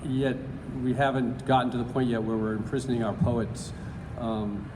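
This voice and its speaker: A man at a live event, reading poetry slowly and deliberately, with halting remarks full of fillers in between. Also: mid-range voice, steady tone. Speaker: poetry reader. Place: large event hall. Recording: roomy hall with background noise.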